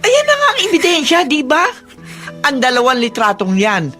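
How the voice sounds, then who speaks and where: A woman's voice: sits high, wildly swooping pitch, normal speed, bright, forceful tone, soft background music, exaggerated voice. An actress playing a protective middle-aged mother with an emphatic, motherly manner in a Filipino radio drama. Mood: indignant.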